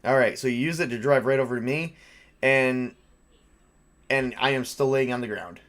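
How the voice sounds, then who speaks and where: A man's voice: mid-range voice, normal speed, fairly bright tone. A man casually narrating a tabletop role-playing game as game master, improvising and thinking aloud with some hesitation.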